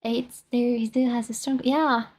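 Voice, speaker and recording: A woman's voice: on the high side, level tone, unhurried, darkish tone. A young woman with a French accent, chatting casually as a VTuber streamer, rambling and self-conscious with many restarts. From France. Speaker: VTuber streamer reacting to a video. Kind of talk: casual chat.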